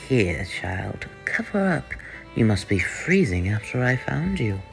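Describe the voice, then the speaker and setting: A man voicing a stern older male ruler who speaks in hushed, half-whispered tones. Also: mid-range voice, very animated, measured pace, gravelly, soft background music.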